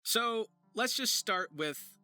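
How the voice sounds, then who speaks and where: A man presenting a voice acting tutorial to viewers in an animated, enthusiastic explaining manner. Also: high voice, slow, no echo. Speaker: voice actor, writer and video presenter. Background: music.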